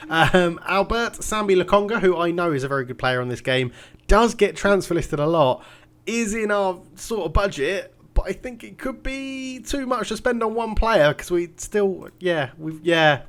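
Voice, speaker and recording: A man's voice: high-pitched, a bit bright. A man, congested and nasal from a cold, chatting casually through his football management game. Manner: chatty and rambling, self-mocking. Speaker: game commentator. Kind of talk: casual gaming commentary.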